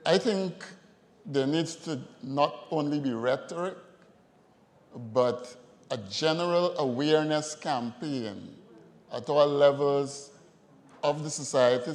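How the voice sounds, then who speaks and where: A man's voice: medium pitch, a little mellow. Earnest Guyanese man in an echoing hall. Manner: measured, earnest, rhetorical questions. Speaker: audience member commenting. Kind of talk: formal comment from the floor. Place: large conference hall. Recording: reverberant conference hall.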